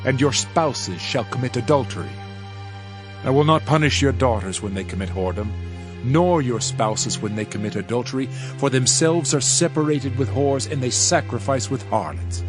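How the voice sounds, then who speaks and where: A man playing a solemn Old Testament prophet, reciting scripture in a measured, declamatory way. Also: mid-range voice, close to an everyday voice. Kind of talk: dramatized King James scripture narration. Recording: music under the voice. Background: music.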